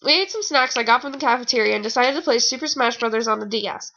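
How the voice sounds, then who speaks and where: A woman's voice: on the high side, lively intonation, fast talker, creaky, bright, forceful tone. A young woman reading fan fiction aloud in a casual, informal way, with rambling, sarcastic commentary. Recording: dull lo-fi home recording.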